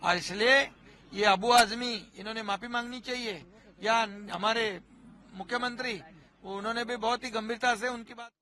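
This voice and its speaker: A man's voice: extremely high pitch, fairly even intonation, moderate pace, pushed and bright. A man condemning a statement indignantly, stumbling over his words as he goes. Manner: indignant, stammering. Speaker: interviewee.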